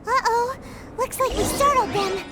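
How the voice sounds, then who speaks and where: A woman voicing a tiny, chatty, suspicious pixie girl companion in a video game, with a squeaky childlike voice. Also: unhurried, background noise. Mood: curious, worried. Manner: speaks of self in third person, exclamatory. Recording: loud background music under the voice.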